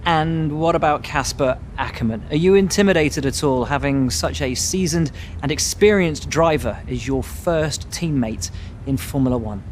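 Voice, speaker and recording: A man's voice: a little high, moderate pace, slight background noise, natural voice. An actor playing a probing male press interviewer in a game cutscene.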